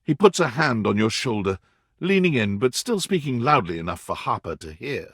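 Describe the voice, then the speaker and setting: Dramatic game narrator, bit raspy, really lively pitch, super dry close recording. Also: male voice, normal speed, dry recording, full character voice. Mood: dramatic storytelling. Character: male storybook-style narrator of a dating game. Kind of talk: scripted second-person story narration.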